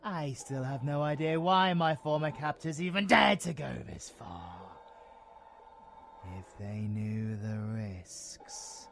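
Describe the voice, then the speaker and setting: A British man playing a predatory, mocking vampiric abomination in a villain audio roleplay, savoring his phrases theatrically and slipping into breathy whispers. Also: on the high side, expressive, slow pace, fairly bright tone, slight background noise, exaggerated voice. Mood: cold menace.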